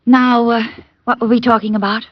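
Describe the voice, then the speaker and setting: An actress playing a coy, flirtatious sweetheart in 1950s radio drama style. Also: female voice, on the high side, little pitch movement, moderate pace, a little hiss or hum, lightly acted. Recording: muffled old radio broadcast, music behind.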